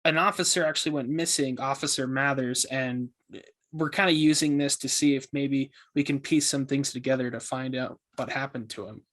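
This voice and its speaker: A man's voice: medium pitch, fairly even intonation, normal speed, fairly bright tone, lightly acted. A man playing an earnest podcast host in an audio drama, talking casually and a bit hesitantly. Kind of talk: casual scripted podcast interview.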